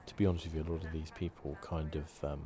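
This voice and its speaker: A man giving quiet, relaxed, chatty ASMR commentary as he hosts a video game playthrough. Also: rumbling low, quick pace, a crowd in the background. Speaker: gaming video host. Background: game music.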